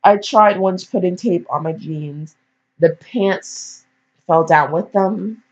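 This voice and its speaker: A woman's voice: fairly low pitch, slow pace, darkish tone. A teenage girl casually reading aloud and rambling off on goofy, self-deprecating asides. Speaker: fan fiction reader. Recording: dull lo-fi microphone.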